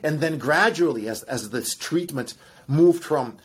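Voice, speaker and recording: Husky Russian-accented man explaining. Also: on the high side, a little slow. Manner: animated, explains with examples and asides. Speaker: guest scientist. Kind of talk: explanatory podcast conversation.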